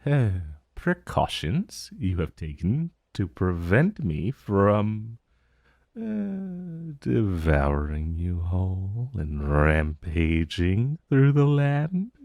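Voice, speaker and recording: An ancient, urbane dragon, acted by a man in a fantasy audio roleplay. He drawls extremely slowly in a low voice that swoops dramatically, sounding amused and vaguely menacing. The sound is dry and intimate. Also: sing-song, a little mellow, no room sound, full character voice. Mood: teasing menace. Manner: theatrical, drawling, savoring words, amused. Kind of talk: fantasy roleplay ASMR monologue.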